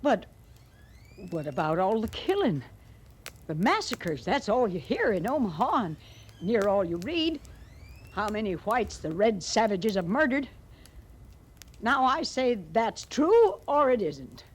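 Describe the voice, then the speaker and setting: Female settler, old radio western. Animated, emphatic, folksy and plain-spoken, slight background noise. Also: medium pitch, measured pace, pauses often. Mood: indignant. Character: outspoken, plain-spoken married woman settler heading west. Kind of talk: 1950s radio western acting. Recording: noisy old radio drama recording.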